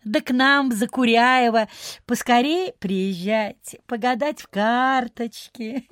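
Gossipy landowner lady, radio play, high-pitched, leisurely, effusive, emphatic, dry sound. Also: slow, fairly bright tone, dry recording. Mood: effusive farewell. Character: gossipy, self-satisfied provincial landowner lady.

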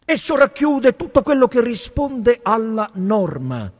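A male actor as a melancholy emperor, husky and unhurried. He declaims his musings in an imperious, brooding way, as in a staged literary reading, leaving long pauses. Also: very high-pitched, very animated, in a large hall, voice pushed up, heavily acted.

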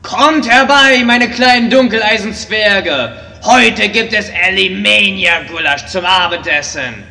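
An actor playing a mocking, bloodthirsty dwarf emperor, the villain of a fantasy parody audio drama. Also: male voice, sits high, a little slow, vocal fry, few pauses, sharp tone, heavily acted. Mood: commanding. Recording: long echoing hall reverb.

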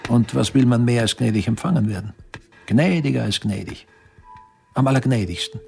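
An actor playing a self-assured, fastidious 18th-century Viennese doctor, musing wryly in a radio drama. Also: male voice, medium pitch, gravelly, a little mellow, faint music behind, natural voice. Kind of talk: radio drama acting, interior monologue.

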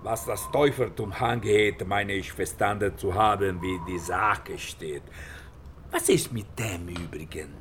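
An actor in a radio drama playing a shrewd, persuasive travelling Italian bookseller, with an Italian accent and a theatrical, emphatic delivery. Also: male voice, on the low side, a little slow, noisy background, natural voice. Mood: sly, probing. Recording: studio radio drama with loud music behind.

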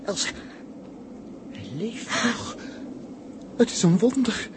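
Awed, anxious companion, animated pitch, emphatic slow delivery, vintage radio drama. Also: male voice, heavily acted. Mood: awed, urgent. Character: awed, anxious male comrade watching a desperate struggle. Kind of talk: 1960s Dutch radio drama acting. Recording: very noisy 1960s radio recording.

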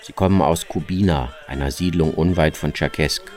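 Very deep-voiced male radio narrator. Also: normal speed, a little mellow. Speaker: reporter and narrator of a radio documentary. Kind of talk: scripted first-person travel narration. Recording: music behind the voice. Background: music.

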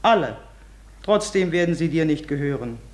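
Stern, mocking older mother, low-pitched, ringing in an echoey hall, 1950s German radio drama. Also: lively intonation, moderate pace, darkish tone. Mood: stern warning. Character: older mother, stern, warning, mocking her daughter's love.

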